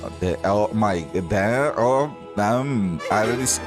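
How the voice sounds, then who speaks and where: A man's voice: on the low side, measured pace. A man doing casual, hesitant gaming commentary as a streamer, thinking aloud informally. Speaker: streamer reacting to game content. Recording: music playing behind the voice.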